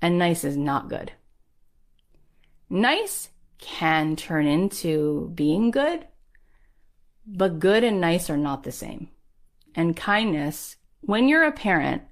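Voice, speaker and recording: Low-voiced woman giving an emphatic pep talk. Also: sing-song, slow pace, long pauses. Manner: emphatic, rallying, conversational. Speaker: podcast host and speaker. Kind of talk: motivational talk.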